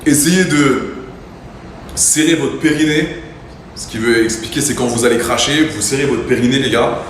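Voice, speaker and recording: A man's voice: medium pitch, normal speed. A man hosting a livestream, talking brashly and teasing while he speaks straight to his chat. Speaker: livestream host. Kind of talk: casual livestream banter. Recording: very echoey room, faint ambient noise.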